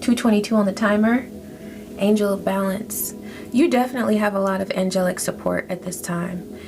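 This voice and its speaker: A woman giving a spiritual tarot reading to her viewers, speaking in a soft, affirming way and drawing out her phrases. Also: mid-range voice, steady tone, fairly quick, gravelly. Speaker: tarot reader. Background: background music.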